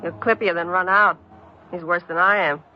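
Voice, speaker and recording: A woman's voice: fairly low pitch, fairly even intonation, normal speed, lightly acted. An actress playing a world-weary, tough American dance-hall woman in her thirties, blunt and wisecracking, in an old-time radio crime drama. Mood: skeptical, blunt. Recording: dull vintage radio recording, music behind.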